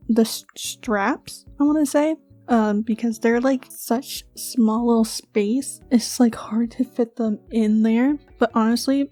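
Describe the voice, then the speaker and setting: A woman's voice: fairly high pitch, dark tone, no echo. A young woman talking casually over her animation video, chatty and rambling, laughing often between fillers.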